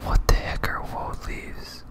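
A gamer speaking in a breathy whisper, giving soft, rambling ASMR commentary while playing a video game. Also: takes it slowly.

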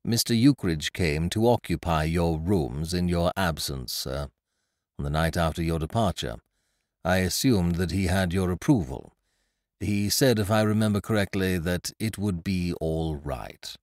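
A man doing a measured, formal butler. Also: low-pitched, darkish tone, no echo, clean studio sound. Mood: deferential. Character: deferential middle-aged male butler.

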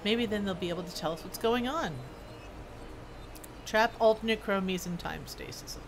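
A woman game streamer casually commenting on her play and reading quest text aloud, musing and halting as if to herself. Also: mid-range voice. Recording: clear game ambience and noise. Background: video game ambience.

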